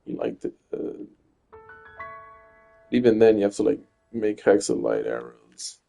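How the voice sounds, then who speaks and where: A man's voice: lowish voice, very animated, a little slow, gravelly, warm tone, faint music behind. Rambling, cursing young man streaming a game. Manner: rambling, stutters and repeats words, swears often. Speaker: gaming streamer. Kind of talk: casual gameplay commentary.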